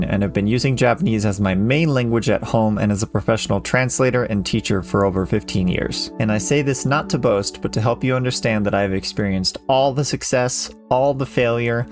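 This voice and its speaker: A man in his thirties, a language teacher, reading a measured, earnest voice-over lesson. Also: fairly low pitch. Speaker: Japanese teacher. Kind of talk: scripted educational voice-over. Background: soft background music.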